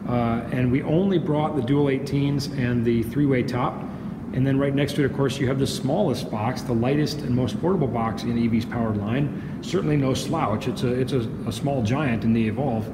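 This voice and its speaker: A man's voice: mid-range voice, normal speed. A man giving a casual product walk-through as a company representative, chatty and wry with colloquial asides. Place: small hard-walled demo room with high ceiling, indoors. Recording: echoey reverberant room with noisy ambience.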